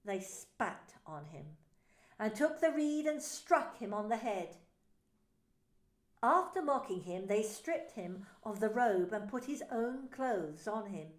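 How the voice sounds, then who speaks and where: A woman pastor narrating a scripture story in a slow, solemn reading. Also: medium pitch, animated, reverberant.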